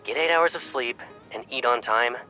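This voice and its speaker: A man acts out a young male pilot cadet, affectionate and teasing, in a romantic game story. His voice is mid-pitched for a man, with music behind it. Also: normal speed, bright, forceful tone, low-quality recording. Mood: caring, bossy. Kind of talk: romantic game fan dub acting.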